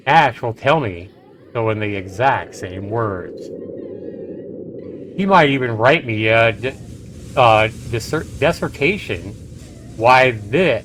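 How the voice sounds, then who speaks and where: Guy streamer reading a story game out loud, dramatic, takes it slow, noisy recording. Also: medium pitch. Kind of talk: dramatic game read-aloud with commentary. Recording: noisy home livestream.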